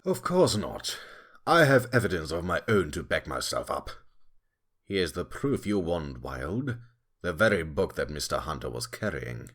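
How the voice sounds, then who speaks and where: A man's voice: low-pitched, big pitch swings, a little slow, heavily acted. A man playing a smug, relentless animal prosecutor in a courtroom audio drama, taunting and theatrical in his questioning. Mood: smug, confident. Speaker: voice actor in fan audio drama. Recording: loud music under the voice.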